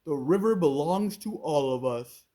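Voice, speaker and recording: A woman voicing a big, grumpy male crocodile in a storybook, with a loud, drawn-out delivery. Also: deep voice, lively intonation. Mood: grateful, welcoming.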